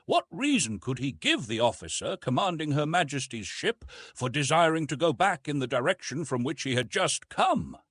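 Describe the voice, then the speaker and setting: A man reads a story aloud as an audiobook narrator. His mid-range voice has a husky edge and a very animated melody. The reading is dramatic and scripted, with a dry sound free of room echo. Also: a little high, moderate pace.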